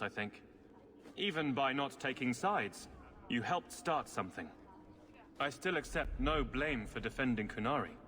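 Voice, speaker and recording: A man's voice: mid-range voice, fairly bright tone, close to an everyday voice. An actor playing a principled young nobleman in a fantasy game, speaking in an earnest, thoughtful, well-spoken way. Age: young adult. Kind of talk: video game cutscene acting. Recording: long hall reverb, faint ambient noise.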